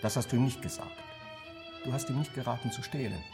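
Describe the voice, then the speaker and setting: Actor playing mocking talkative man, radio play, theatrical, mid-pitched, clear music underneath. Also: brisk, close to an everyday voice. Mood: mocking, needling. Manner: sardonic, theatrical, rhetorical questioning. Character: mocking, talkative man needling his guilt-ridden friend.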